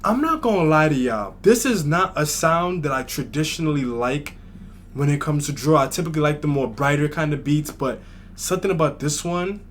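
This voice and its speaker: A man's voice: fairly high pitch, animated, moderate pace, a little hiss or hum. A man from New York hosting a music reaction video, chatting casually and thinking aloud. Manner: chatty, thinks aloud with fillers like "like" and "you know". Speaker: reaction channel host.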